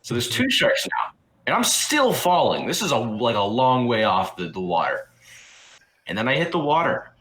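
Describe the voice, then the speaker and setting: A man's voice: medium pitch, big pitch swings, normal speed, fairly bright tone, roomy sound, poor audio. A young man hosting a podcast, telling a story in a loose, rambling way with lots of restarts and casual swearing. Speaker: podcast host.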